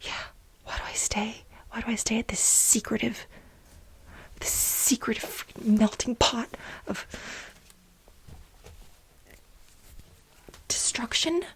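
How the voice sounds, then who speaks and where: A woman playing a frantic, aggrieved overworked bioengineer in a soft-spoken ASMR role play, with breathy whispering and halting, repeated words for dramatic stress. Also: on the high side, exaggerated voice. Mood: pleading, dejected. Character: frantic, aggrieved overworked female bioengineer pleading with her boss.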